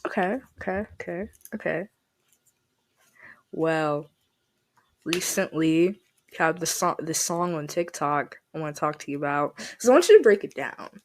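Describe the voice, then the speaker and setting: Chatty woman hosting a podcast. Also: low voice. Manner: chatty, laughs often, drawn-out asides. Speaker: podcast host. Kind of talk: casual interview chat.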